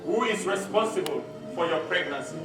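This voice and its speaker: A man's voice: sits high, expressive, moderate pace, voice pushed down. A man playing a stern, furious Prime Minister on stage, commanding and accusatory as he blusters at his household. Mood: angry, accusing. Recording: echoey hall, distant mic, music.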